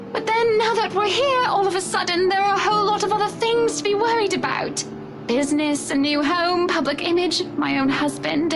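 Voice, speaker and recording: High-pitched, genteel society wife, music behind. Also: female voice. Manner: genteel, dramatic, affectionate. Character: anxious, pampered high-society pregnant wife, gracious and insistent. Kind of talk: visual novel voice acting.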